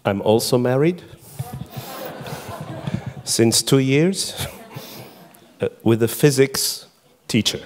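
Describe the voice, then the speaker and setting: A man's voice: mid-range voice, animated, extremely slow, pauses often, a crowd in the background. A middle-aged man whose first language is Hebrew, giving a chatty, self-deprecating conference talk to an audience, full of fillers and restarts. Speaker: conference speaker. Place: conference hall before an audience.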